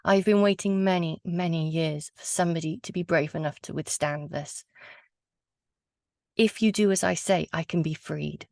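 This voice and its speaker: A woman's voice: low-pitched, steady tone, normal speed, gravelly, a little mellow, no room sound, clean studio sound. A woman storyteller voicing a long-waiting female ghost from a legend, in dramatic, emphatic speech. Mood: grateful, pleading.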